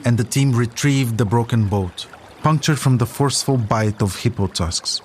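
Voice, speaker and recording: A man's voice: mid-range voice, moderate pace, slight background noise. A man narrates a documentary podcast in a mid-range voice. His delivery is scripted storytelling. Faint water and outdoor ambience sit underneath.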